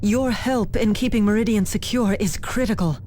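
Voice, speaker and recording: A woman's voice: medium pitch, normal speed, dark tone, a little hiss or hum, close to an everyday voice. A woman playing an earnest, formal planetary official under siege in a science fiction game, speaking precisely. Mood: anxious, contrite. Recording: clear music behind the voice. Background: game music.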